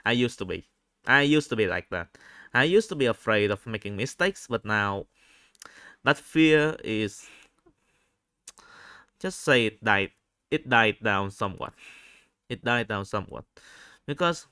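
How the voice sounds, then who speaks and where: Vietnamese-accented guy doing an off the cuff audio log, smooth lowish voice, keeps restarting his phrases. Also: pushed and bright, no echo, clean studio sound. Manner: halting, repeats and rephrases phrases. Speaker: fan translator recording an audio log. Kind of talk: spontaneous, unscripted audio log.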